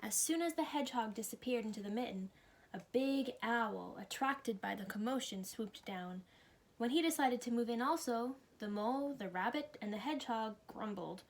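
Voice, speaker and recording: A woman reading a children's story aloud with expressive, clearly phrased delivery. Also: fairly high pitch, moderate pace. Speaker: storybook reader.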